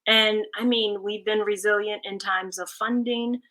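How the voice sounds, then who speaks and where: A woman's voice: medium pitch, steady tone, measured pace, bright, forceful tone. A woman speaking earnestly and reflectively as a community advocate in a panel discussion, stressing key words thoughtfully.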